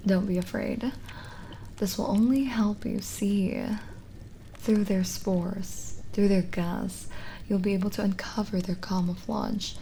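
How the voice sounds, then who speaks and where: A woman playing a lonely, gentle witch in an ASMR roleplay, speaking softly and intimately, often in a whisper, with halting pauses. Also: mid-range voice, fairly even intonation, a little hiss or hum, low-quality recording. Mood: soft, instructive. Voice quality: soft, breathy, often whispered. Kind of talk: ASMR roleplay, slow soothing scripted acting.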